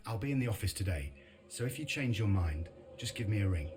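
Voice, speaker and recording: An actor playing a weary, guilt-ridden office worker in a video game, subdued and plain-spoken. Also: male voice, on the low side, animated, brisk, lightly acted. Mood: resigned. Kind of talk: video game cutscene acting and narration. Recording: loud music behind the voice.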